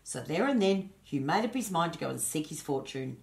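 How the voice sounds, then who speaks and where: A woman storyteller reading a children's story aloud, expressive and chatty with her young listeners. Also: deep voice, brisk, gravelly. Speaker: storyteller and host.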